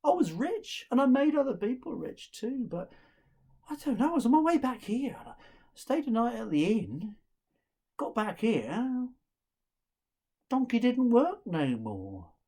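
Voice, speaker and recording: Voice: medium pitch, big pitch swings, unhurried, long pauses, mellow, pitched above the performer's own voice. A storyteller voicing a dejected elder brother in a folktale, a glum, plain-spoken man.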